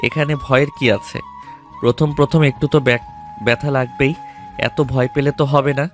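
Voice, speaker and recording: A man's voice: medium pitch, fairly even intonation, moderate pace, soft background music, close to an everyday voice. A man playing a coaxing young lover in an audio love story. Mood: reassuring.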